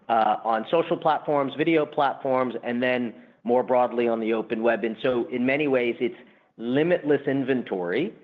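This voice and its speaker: Businesslike man on a muffled conference line. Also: medium pitch. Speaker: company executive answering analyst questions. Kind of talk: earnings call answers, semi-formal explanation. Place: conference call. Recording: muffled, band-limited conference call line.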